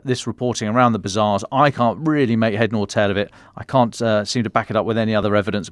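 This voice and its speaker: A man with a British accent giving a measured, explanatory news analysis briefing as a podcast commentator, with the odd colloquial turn. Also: medium pitch, dry recording.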